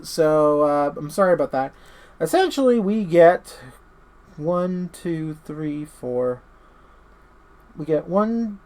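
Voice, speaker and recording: A man hosting a casual, unscripted review, rambling and often stammering and interrupting himself as he talks. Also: high-pitched. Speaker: host of a horror movie review channel.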